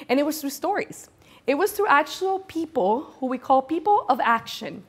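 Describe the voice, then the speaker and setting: High-voiced, enthusiastic woman presenter. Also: very animated, normal speed. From Venezuela. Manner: enthusiastic, conversational, emphatic repetition. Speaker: conference speaker, brand storyteller. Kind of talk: motivational business presentation to an audience.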